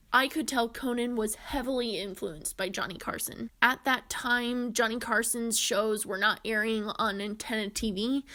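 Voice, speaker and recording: A woman's voice: on the high side, a bit bright. A woman in her twenties presenting a scripted video essay as its narrator. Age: 20s.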